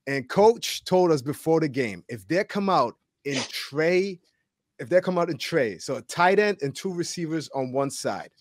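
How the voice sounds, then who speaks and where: A man hosting casual sports talk, telling stories in an animated, slangy way and repeating words for emphasis. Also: on the high side, no echo, studio quality. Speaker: podcast host and former college football player.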